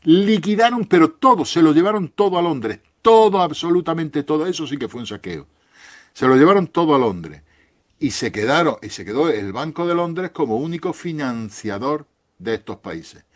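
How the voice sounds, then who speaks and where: A man's voice: fairly high pitch, lively intonation, moderate pace. A man with a Spain Spanish accent, a guest author arguing points on history emphatically in an interview, often restarting his phrases.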